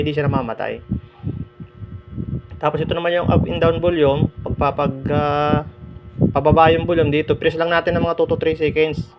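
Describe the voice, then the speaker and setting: A man giving a casual, halting product review as a motorbike vlogger, with lots of fillers. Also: a little high, muffled recording. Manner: relaxed, halting, many fillers. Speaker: moto vlogger reviewing an intercom. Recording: clear background noise.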